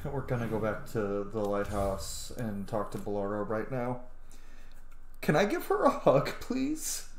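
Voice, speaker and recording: Expressive man, roomy gameplay commentary. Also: lowish voice, a little slow, a little hiss or hum. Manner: expressive, emotionally invested. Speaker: gaming streamer. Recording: roomy, game music behind.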